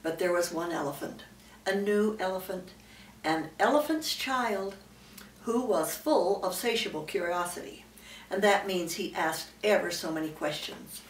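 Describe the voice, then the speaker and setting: A woman's voice: lowish voice, measured pace. Older female storyteller, read-aloud picture-book style, emphatic delivery; distant mic, echoey room. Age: older, great-grandmother. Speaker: storyteller reading to a child. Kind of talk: read-aloud children's storybook narration with asides. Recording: roomy, distant mic, faint background noise.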